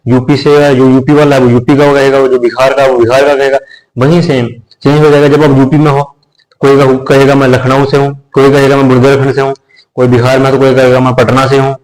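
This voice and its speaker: A man's voice: mid-range voice, level tone, fairly quick, a little mellow, low-quality recording. Male teacher, casual explainer, repeats points and checks in with the class. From India. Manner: conversational, repeats phrases, checks in with listeners. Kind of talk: explanatory lecture.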